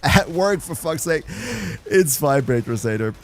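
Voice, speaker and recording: A man's voice: on the high side, expressive, measured pace. A young German man with a German accent, hosting a casual gaming livestream, chatting with viewers and reading the chat between laughs. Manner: chatty, reads and answers chat, laughs often. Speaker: livestream host.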